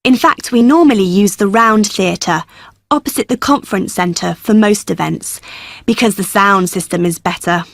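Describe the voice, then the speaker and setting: An actress playing a helpful student union worker, explaining forms to a new student in a scripted exam dialogue. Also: female voice, medium pitch, normal speed, creaky, no echo. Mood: friendly. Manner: helpful, explanatory, occasionally laughing. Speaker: student union staff member.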